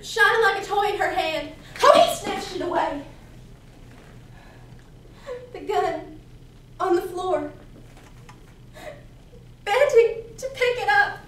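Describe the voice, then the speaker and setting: A woman's voice: very high-pitched, animated, takes it slowly, pauses often, fairly bright tone, exaggerated voice. A woman performing a dramatic monologue as a troubled, earnest fourteen-year-old runaway girl, with intense, theatrical storytelling. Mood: frantic. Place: indoor competition room, distant camera. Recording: echoey room from far off, noisy.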